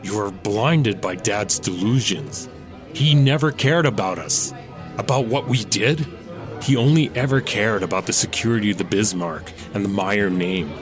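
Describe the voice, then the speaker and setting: A man's voice: lowish voice, moderate pace, faint music behind, close to an everyday voice. An actor playing a young man confronting his sister in an audio drama, earnest and reproachful. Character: Otto, young man breaking from his family.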